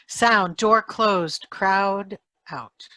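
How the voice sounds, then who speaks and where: A woman's voice: medium pitch, extremely slow, sharp tone, dry recording. A woman reading out sound-effect cues for a radio drama in short, announcing phrases.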